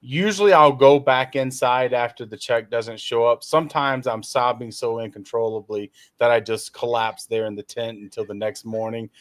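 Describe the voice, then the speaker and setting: A man's voice: medium pitch, steady tone, moderate pace, few pauses, a bit bright, dry recording. A man hosting a casual podcast chat, joking with dry sarcasm.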